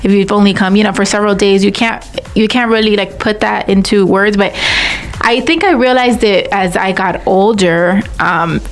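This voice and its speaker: Young woman, chatty podcast host, rambling with fillers, mid-pitched voice. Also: normal speed. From Miami. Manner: chatty, rambling, peppered with "like" and "you know". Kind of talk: casual interview chat.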